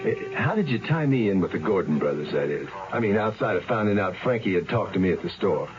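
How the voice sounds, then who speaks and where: A deep-voiced ex-convict tells his story in an old radio drama. His delivery is easygoing and moves a lot in pitch. The recording is dull, with music under it. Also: very animated, fast talker. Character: young ex-convict man narrating his story. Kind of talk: 1970s radio drama acting.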